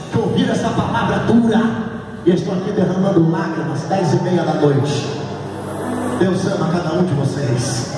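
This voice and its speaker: A Brazilian man preaches a fervent revival sermon in a high-pitched voice, hammering short phrases again and again. He is heard from far off in a big church hall, with loud music swelling behind him. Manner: exhorting, emphatic, repeats short commands and declarations. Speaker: Pentecostal pastor preaching at a congress. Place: large crowded church hall. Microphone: PA microphone heard through a distant camera. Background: loud music and congregation.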